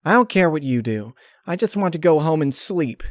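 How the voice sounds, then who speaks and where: A tired, listless pony girl acted by a man in a dark storybook. The voice is lifted high over his own, with a plaintive, sleepy manner. It is recorded very dry. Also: lively intonation, moderate pace, dry recording, close to an everyday voice. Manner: weary, plaintive. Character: exhausted, listless female pony who wants sleep.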